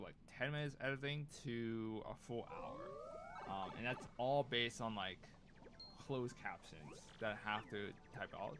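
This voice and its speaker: A man whose first language is Cantonese, talking casually while playing a game. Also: medium pitch. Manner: chatty, thinking out loud. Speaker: gaming streamer. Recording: game music clearly behind voice. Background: video game music.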